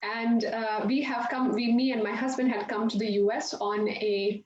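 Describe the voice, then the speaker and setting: A bright, higher-pitched woman tells stories in a chatty way, laughing easily as she talks. She is on a video call from her home yoga studio. The room sounds echoey, and the audio is dull with faint hiss underneath. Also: steady tone, normal speed. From India. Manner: chatty and warm, laughs often while telling stories. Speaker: yoga and meditation instructor, guest. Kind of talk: casual interview storytelling.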